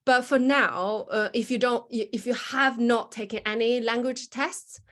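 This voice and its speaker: A woman's voice: on the high side, fairly even intonation, measured pace, fairly bright tone. A woman from the admissions team explaining requirements on a video call, with some self-corrections. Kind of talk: explaining requirements in online meeting.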